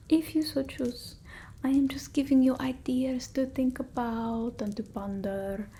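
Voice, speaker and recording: A woman voicing an eccentric centuries-old female vampire in an ASMR roleplay, speaking softly and often whispering, close to the mic. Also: a little high, a little hiss or hum. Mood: musing, gentle. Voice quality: soft, breathy, often whispered. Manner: halting, theatrical, with quaint phrasing. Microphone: close ASMR microphone.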